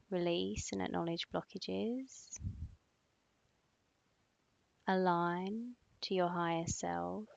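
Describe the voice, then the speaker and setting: A woman leading a guided meditation in a soothing, instructing manner, with long pauses and breathy phrase endings. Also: fairly low pitch, darkish tone, no echo. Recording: slightly muffled, dull close dry recording.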